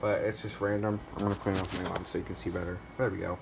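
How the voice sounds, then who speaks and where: A man's voice: low voice, level tone, rapid-fire, vocal fry, background noise. A man giving a casual show-and-tell narration in a hesitant, rambling way, often correcting himself. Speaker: video creator showing a weather radio collection.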